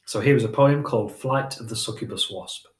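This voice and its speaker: A man's voice: mid-range voice, a little fast, echoey room. A man giving a dramatic reading of poetry, with a short spoken introduction. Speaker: poetry reader.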